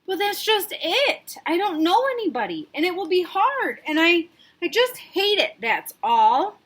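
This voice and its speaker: A woman voicing a stubborn, anxious young woman in a storybook, with slow, whiny, reluctant lines. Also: very high voice, sing-song, fairly bright tone, voice pushed up, full character voice. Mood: sulky. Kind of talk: storybook read-aloud.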